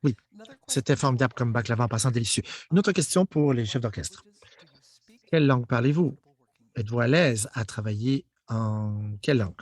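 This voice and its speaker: A man voicing a live interpretation of a panel discussion. His delivery is halting, and he restarts and rephrases his sentences on the fly. His pitch sits in a plain middle range for a man. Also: a little mellow. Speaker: simultaneous interpreter.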